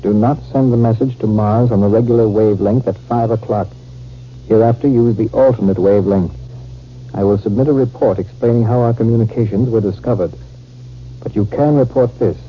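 An actor playing a smooth, duplicitous army captain in a 1950s radio drama. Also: male voice, fairly low pitch, fairly quick, lightly acted. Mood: cold, conspiratorial. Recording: muffled, hissy 1950s radio recording.

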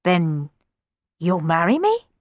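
A woman's voice: on the low side, huge pitch swings, slow, no room sound, studio quality, exaggerated voice. A woman storyteller playing an earnest young prince who can turn stern and commanding.

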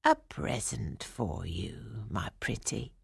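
A woman voicing a wicked old fairy, sly and falsely sweet, in a slow, gloating storybook manner. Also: rumbling low, very animated, vocal fry, slightly rough, no room sound, clean studio sound, pitched below the performer's own voice, heavily acted. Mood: sly, falsely kind.

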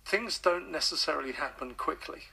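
A man's voice: fairly high pitch, a little fast, creaky, bright, forceful tone, breathy edge, rough recording. A man, an author being interviewed on radio, speaking thoughtfully and hesitantly, often repeating words as he thinks.

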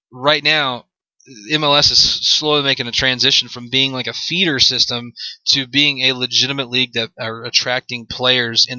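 Bright, forceful young American man podcasting. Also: medium pitch, dry recording, lo-fi sound. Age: mid twenties. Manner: rambling, repeats phrases, leans on "you know". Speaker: podcast host. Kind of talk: solo sports commentary podcast, unscripted.

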